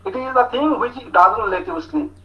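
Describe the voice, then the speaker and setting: A man with an Indian English accent giving an online talk to students, speaking slowly with many hesitations as he reads out their answers. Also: very high-pitched, expressive. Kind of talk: online lecture with student chat. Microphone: video call. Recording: muffled video call audio.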